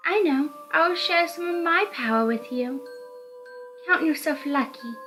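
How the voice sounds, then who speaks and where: Woman voicing a seductive, gloating supernatural mistress, raised pitch. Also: unhurried, sharp tone, soft background music. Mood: gloating, playful. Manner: gloating, commanding, condescending. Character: seductive, proud, malicious supernatural mistress claiming a servant.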